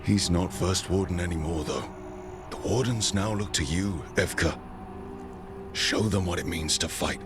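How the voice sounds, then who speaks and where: An actor playing a determined, encouraging hero commander in a fantasy video game, firm and assured. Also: male voice, low voice, measured pace. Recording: music behind the voice.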